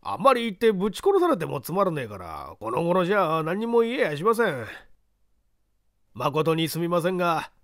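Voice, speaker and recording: A man voicing a rough but deferential construction foreman in a storybook reading, coarse and pleading. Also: on the high side, big pitch swings, normal speed, a bit bright, no echo, studio quality, pitched above the performer's own voice. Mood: complaining. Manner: coarse workman's speech, pleading.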